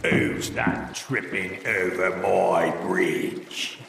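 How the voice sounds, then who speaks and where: An actor playing a mean, hungry troll who guards a bridge, with a gloating, menacing way of talking. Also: male voice, deep voice, steady tone, vocal fry, fairly bright tone, roomy sound, long reverb tail, a little hiss or hum, exaggerated voice. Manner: gloating, menacing, drawn-out growls.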